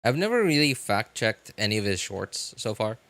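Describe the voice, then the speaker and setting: A man hosting a livestream, chatting casually and unscripted with viewers in a halting, rambling way that often repeats phrases. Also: fairly low pitch, very animated, gravelly, a bit bright, dry recording. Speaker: livestream host.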